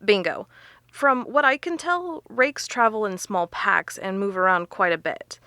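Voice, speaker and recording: An actress playing a sharp, confident woman researcher who explains things emphatically in an audio drama. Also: medium pitch, unhurried, no echo, studio quality. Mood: explaining, intent.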